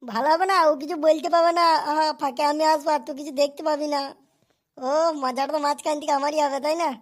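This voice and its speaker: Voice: high-pitched, fairly even intonation, quick pace, lightly acted. A performer voicing a cheeky, meddling, foul-mouthed wedding companion in a cartoon, thin-voiced. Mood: sly, teasing. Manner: meddling, teasing, crude. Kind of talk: amateur comedy cartoon voice acting.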